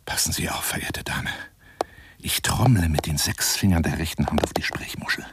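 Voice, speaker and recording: An actor playing an obsessive, menacing, wounded male caller in a German radio play monologue, theatrical and florid, with a raspy voice and insinuating delivery. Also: fairly low pitch. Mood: menacing, lascivious whisper. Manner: theatrical, florid, insinuating, swinging into breathy whispers.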